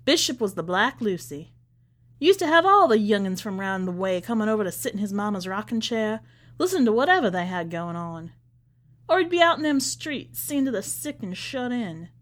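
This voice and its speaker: A woman voicing a rambling, devout, evasive church woman with folksy speech in an audiobook story. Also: fairly high pitch. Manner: chatty, folksy Southern-style church talk. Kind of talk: acted storybook dialogue.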